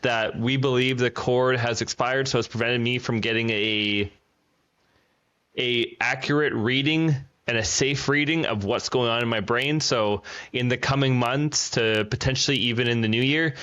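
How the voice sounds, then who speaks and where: A man's voice: medium pitch, fairly bright tone. A man in his thirties, an athlete speaking in casual podcast chat, earnest and reflective in long flowing sentences. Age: 30s. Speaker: para cycling athlete. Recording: dull lo-fi sound.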